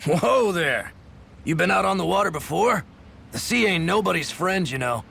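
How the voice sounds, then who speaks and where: High, gravelly, folksy sea dog. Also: male voice, lively intonation, normal speed, a bit bright, full character voice. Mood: hearty, cocky. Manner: folksy, colloquial nautical slang. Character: hearty, folksy male sea dog and ship captain. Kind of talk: video game voice acting. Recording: noisy, ambient background sound.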